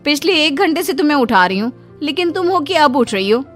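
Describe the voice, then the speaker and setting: Give this a high female voice for a woman playing an elder sister-in-law in a cartoon. She is brisk and chiding, the one who runs the household's mornings. Music plays underneath. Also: sing-song.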